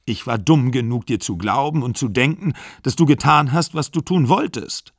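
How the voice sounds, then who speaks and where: Ein älterer Mann in verzweifelter Wut, von einem Hörbuchsprecher gespielt, laut und bitter. Trocken und nah aufgenommen. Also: male voice, mid-range voice, lively intonation, measured pace, dry recording, clean studio sound. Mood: angry. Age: older. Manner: heated, shouting, bitter. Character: older man, desperate and angry at being left.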